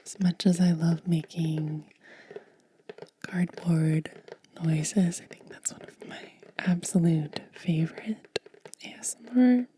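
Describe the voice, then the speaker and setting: A woman whispering softly and gently in an unhurried ASMR style, close to the microphone, pausing between short phrases. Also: fairly low pitch, a little hiss or hum. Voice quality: breathy, mostly unvoiced whisper. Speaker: ASMR host. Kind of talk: ASMR unboxing, hushed and soft-spoken.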